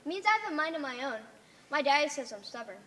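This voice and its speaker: Outspoken, insistent girl outsider played by a woman; bright, lively intonation, distant-miked stage play. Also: sits high, quick pace, a little hiss or hum. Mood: earnest. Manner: plain-spoken, insistent, emphatic. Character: stubborn, outspoken, homesick young girl, an outsider. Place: theatre stage.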